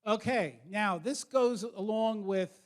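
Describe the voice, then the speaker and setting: A man with a somewhat high voice presents as a school counselor to students and parents. He is informal yet direct, setting out instructions and addressing the audience plainly. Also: takes it slowly.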